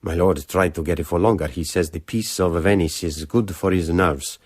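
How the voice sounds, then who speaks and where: An actor playing a grumbling Victorian courier voicing his letter in a radio drama, measured and dry in manner. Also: low voice, steady tone, slightly rough, no room sound. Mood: wry, measured.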